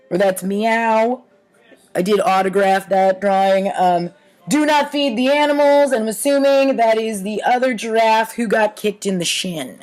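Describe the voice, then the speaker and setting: Female storytime host, higher register, unhurried pace, theatrical reader with wry offhand asides. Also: poor audio. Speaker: host reading aloud childhood writing. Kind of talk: storytime reading with casual commentary.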